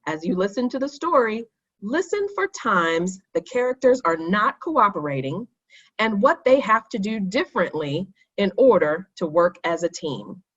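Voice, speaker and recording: A woman reading a children's story aloud, addressing young listeners in clear, instructive phrasing. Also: mid-range voice, big pitch swings, normal speed, muffled recording. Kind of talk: expressive read-aloud for children.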